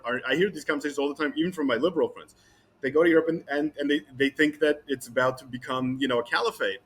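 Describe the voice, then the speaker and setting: A man giving a guest interview, talking in a halting way with many restarts and repeated words. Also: fairly high pitch, a bit bright, husky. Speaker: guest commentator. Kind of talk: interview conversation.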